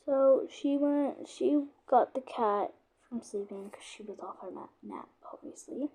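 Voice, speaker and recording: Woman, sore gravelly voice, slightly high, unhurried rambling first-person storyteller who half-whispers. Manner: soft, often slipping into a whisper; rambling, with frequent "obviously" and restarts. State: sore, lowered voice. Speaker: channel creator narrating a roleplay.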